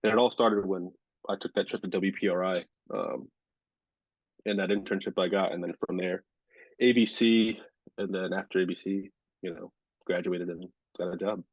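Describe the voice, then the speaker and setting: Young man, low voice, monotone, filler-heavy, dull lo-fi audio. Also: moderate pace, no room sound. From Rhode Island. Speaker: sports reporter and anchor, podcast guest. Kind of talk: casual interview chat.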